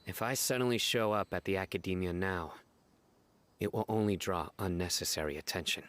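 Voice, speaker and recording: A calm investigator from a video game with a very low, deep voice. He lays out plans in a steady, deliberate way.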